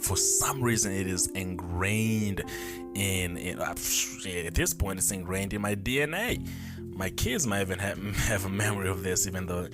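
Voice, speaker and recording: Man in his twenties, low voice. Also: moderate pace, over music. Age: 20s. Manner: rambling, self-correcting, thinking aloud. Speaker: podcast host. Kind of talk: casual solo storytelling. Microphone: camera mic.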